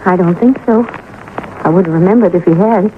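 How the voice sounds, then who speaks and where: Actress as a subdued young widow, tinny radio recording. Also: female voice, on the low side, lightly acted. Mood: subdued grief. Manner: measured, hesitant, restrained. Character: subdued young widowed mother, sad but composed. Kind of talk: 1950s radio drama acting. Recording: noisy narrow-band old radio recording.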